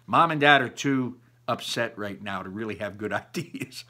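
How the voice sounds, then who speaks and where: A man reading a children's novel aloud expressively, with emphatic pauses and thoughtful asides to the listener. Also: on the low side, bright, forceful tone. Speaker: read-aloud narrator.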